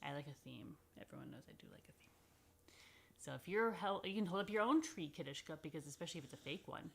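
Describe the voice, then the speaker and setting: A woman's voice: deep voice, animated, quick pace, gravelly, slightly rough. A woman rabbi hosting a children's story time, speaking informally and playfully straight to the children in a chatty way.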